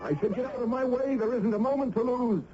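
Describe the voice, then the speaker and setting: A man's voice: very high-pitched, quick pace. An actor playing an earnest, anxious, lovelorn man in an old-time radio drama, with a hesitant, dramatic delivery. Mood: urgent. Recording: dull, noisy vintage radio recording.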